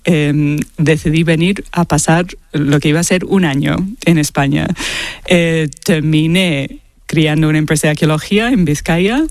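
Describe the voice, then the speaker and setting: A man in his late thirties with an Australian accent and a fairly high voice, recorded dry and close. A native English speaker, he gives expert interview answers haltingly, repeating words as he searches for the next one. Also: no echo. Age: late 30s. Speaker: guest expert, archaeologist.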